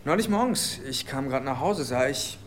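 Actor as lively, oily newspaper reporter. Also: male voice, mid-range voice, normal speed, a little hiss or hum, lightly acted. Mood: insinuating. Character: oily, insinuating male 1920s newspaper reporter. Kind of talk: radio drama acting.

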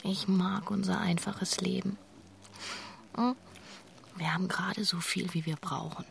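An actress playing an earnest, agitated, idealistic wife in a naturalistic radio drama, stammering and urgent, often dropping into hushed tones. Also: female voice, lowish voice, fairly even intonation, unhurried, creaky, slight background noise.

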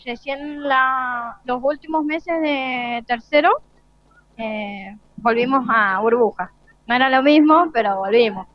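A woman's voice: on the high side, no room sound, lo-fi sound. A teenage young woman with an Argentine accent giving hesitant, unscripted answers as a student being interviewed. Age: teens.

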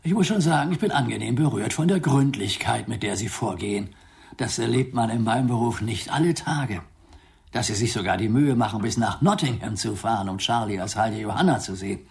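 An actor playing a flustered, talkative London theatre agent in a German radio play, polite and wordy with old-fashioned phrasing. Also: male voice, fairly low pitch, animated, a little fast, gravelly, darkish tone. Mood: impressed, polite. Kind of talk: German radio drama acting.

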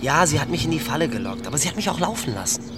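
An actor playing a naive, stubborn and earnest youth in a radio play, speaking plainly and guilelessly. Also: male voice, on the high side, sing-song, racing. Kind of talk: naturalistic radio play acting. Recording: loud music or vehicle noise behind.

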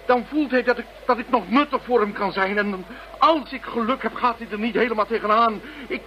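An actor playing a tormented man who fends off a mental intruder, halting and repeating words under strain in a radio play. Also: very high-pitched. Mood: strained, pleading. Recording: muffled radio play, faint noise.

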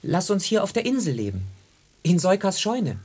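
Earnest, husky tomcat, lively intonation. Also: male voice, high-pitched, normal speed, heavily acted. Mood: resolute, pleading. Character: earnest male cat, homebody turned island romantic. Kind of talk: radio drama acting.

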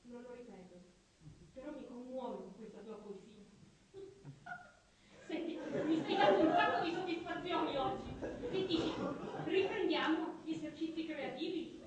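Un'attrice che interpreta una piccola donna stramba, loquace e apprensiva, in un dialogo di radiodramma, con modi enfatici, autoritari e ripetitivi. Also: female voice, high-pitched, lively intonation, normal speed, a crowd in the background. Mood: coaxing. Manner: repetitive, emphatic, bossy. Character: talkative, fretful, quirky small woman who dreads finished things. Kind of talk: theatrical radio drama dialogue. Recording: very echoey, distant stage-like sound.

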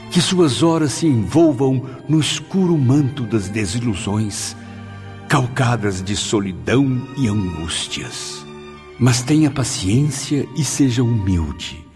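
A deep-voiced male spirit in a radio drama, solemn and grateful. His delivery is florid and declamatory, as if reciting weighty memories. Music plays underneath.

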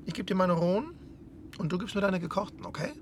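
High-voiced upper-class quartermaster. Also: male voice, animated, quick pace, slight background noise. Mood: wheedling. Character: self-important upper-class expedition quartermaster.

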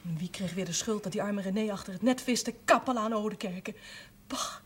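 An actress playing a defiant, principled grown daughter in a television drama, reproachful, indignant and outspoken. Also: female voice, mid-range voice, fairly quick, slightly rough, natural voice. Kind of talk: naturalistic television drama acting.

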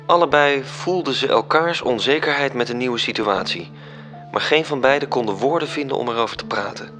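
A man's voice: medium pitch, a little fast, pushed and bright, close to an everyday voice. A man playing a composed, solemn storyteller in a literary radio drama, narrating. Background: music.

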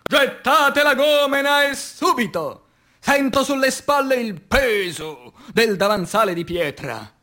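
A man, an actor, reciting poetry in a declamatory, impassioned theatrical style that swings between hushed and loud. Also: very high voice, animated, slow pace. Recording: music under the voice. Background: music.

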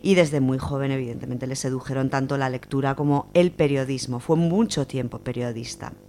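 A woman's voice: a bass voice, fairly even intonation, brisk, warm tone, a little hiss or hum. A woman with an Aragonese Spanish accent presents a literary segment on a radio show, speaking in a chatty, explanatory way with many fillers.

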